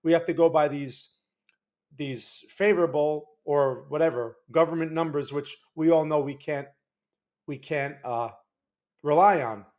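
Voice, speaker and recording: A man's voice: on the high side, unhurried, a little mellow. A man hosting a market analysis livestream in a loose, chatty way, greeting viewers and restarting his sentences. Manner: chatty, reads out chat names, loose restarts. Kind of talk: casual livestream talk. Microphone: desk microphone.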